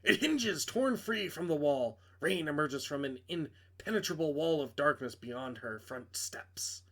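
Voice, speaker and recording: A man's voice: fairly high pitch, lively intonation, measured pace, a bit bright. A man reading a visual novel aloud as narrator, in a dramatic scripted storytelling style.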